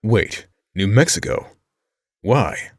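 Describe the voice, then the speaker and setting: A man's voice: fairly low pitch, expressive, slow, husky, no echo, full character voice. A man playing a dry, skeptical, protective teenage boy in an audio drama, with sardonic delivery.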